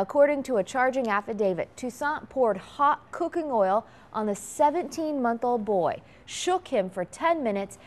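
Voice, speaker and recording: A woman reading scripted television news as a morning anchor. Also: on the high side.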